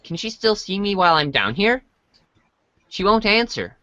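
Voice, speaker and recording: Earnest, excitable boy voiced by an amateur, forceful bright tone, lively swings, chatty reader's theater delivery. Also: medium pitch, moderate pace, no echo, lo-fi sound. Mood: excited, curious. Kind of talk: amateur reader's theater, reading chat messages.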